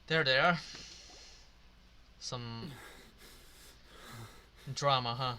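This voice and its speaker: A man's voice: medium pitch, drawn-out words, bright, forceful tone, slight background noise. A man doing casual, rambling gameplay commentary, swearing now and then. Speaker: video game streamer.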